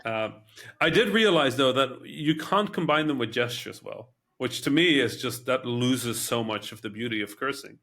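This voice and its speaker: Male podcast host from the Netherlands, medium pitch, conversational, expressive storytelling in relaxed group chat. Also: normal speed. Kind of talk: casual group discussion.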